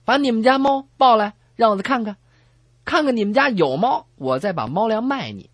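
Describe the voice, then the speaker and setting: A woman's voice: on the high side, animated, fairly quick, no echo. A woman voicing a suspicious, bossy pet shop clerk in a radio comedy sketch, in a nagging, demanding way.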